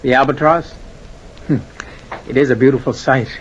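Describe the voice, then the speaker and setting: An actor playing an innocent young sailor nephew, earnest and wide-eyed, in old-time radio drama style. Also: male voice, mid-range voice, steady tone, moderate pace, close to an everyday voice. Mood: wonder, eager. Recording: muffled, faintly noisy vintage radio recording.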